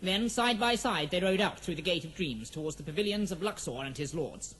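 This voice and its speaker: A man's voice: sits high, lively intonation, fairly quick, a bit bright. A man playing the storyteller of an epic fantasy audio drama, giving formal, dramatic scripted narration. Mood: dramatic, measured.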